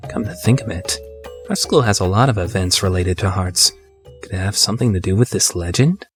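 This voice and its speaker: A man's voice: deep voice, fairly quick, over music. A man voicing an awkward, earnest teenage schoolboy with a crush in an anime fandub, hesitant and easily flustered. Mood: musing. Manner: flustered, hesitant, overthinking monologue. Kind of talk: anime fandub radio play.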